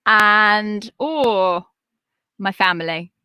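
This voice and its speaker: A woman's voice: extremely slow, bright, forceful tone, smooth. A woman in her forties from England, a podcast coach talking as an interview guest in an upbeat, encouraging way. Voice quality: high-pitched. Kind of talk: casual interview chat.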